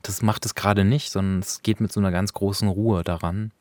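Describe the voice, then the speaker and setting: A man's voice: fairly low pitch, steady tone, brisk, a little mellow, no room sound. A man co-hosting a podcast, reviewing thoughtfully and searching for precise wording in conversation. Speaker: podcast co-host.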